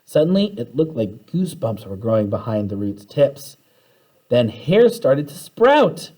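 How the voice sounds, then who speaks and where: A man reading a children's story aloud with expressive, dramatic narration. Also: medium pitch, unhurried, poor audio. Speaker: story reader.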